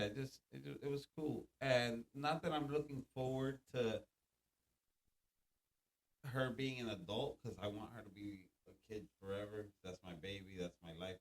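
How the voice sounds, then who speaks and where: A man's voice: fairly low pitch, poor audio. A man in his thirties, a podcast guest, chatting casually, laughing often and swearing freely. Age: 30s. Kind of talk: casual chat.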